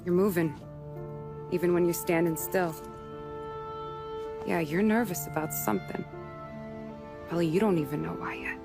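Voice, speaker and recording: An actress playing a shrewd, suspicious working-class wife in a video game, dry and sarcastic. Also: female voice, fairly low pitch, fairly even intonation, normal speed, vocal fry, long pauses, music in the background. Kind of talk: cinematic video game acting.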